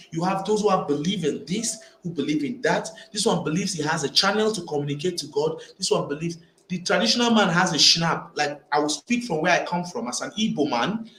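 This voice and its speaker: Man from Nigeria, high-pitched, emphatic vlogger ranting to camera; echoey room. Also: a bit bright. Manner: emphatic, repeats phrases while building arguments. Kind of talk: opinionated commentary to camera. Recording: echoey room with faint ambient noise.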